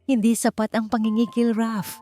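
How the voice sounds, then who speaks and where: A woman plays a commanding billionaire man with a Filipino accent. He speaks firmly and emphatically, like a sharp boss, in audiobook dialogue with music behind. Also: fairly high pitch, flat delivery, normal speed, voice pushed up, lightly acted. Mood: firm, resolute. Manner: firm, emphatic. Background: background music.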